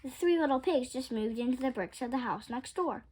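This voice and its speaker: A woman's voice: high-pitched, expressive, brisk, a little mellow. A woman voicing a kindly old grandmother in a children's storybook, offering help. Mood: helpful.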